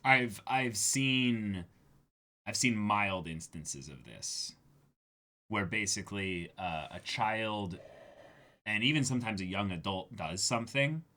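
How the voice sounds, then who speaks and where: A man co-hosting a manga reading stream, talking casually in an emotive, halting and emphatic way. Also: lowish voice, lively intonation, sharp tone. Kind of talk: casual livestream chat and reactions.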